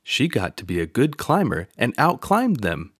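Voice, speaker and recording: A man narrating a children's picture book aloud in an expressive storytelling manner. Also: mid-range voice, normal speed, breathy edge, no room sound, clean studio sound.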